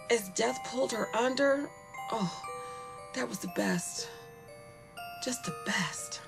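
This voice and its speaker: A woman playing a spoiled, bored young female killer in an audio horror monologue, taunting and conversational, with gleeful, drawn-out musing. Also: medium pitch, creaky, a bit bright. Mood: savoring, chilling.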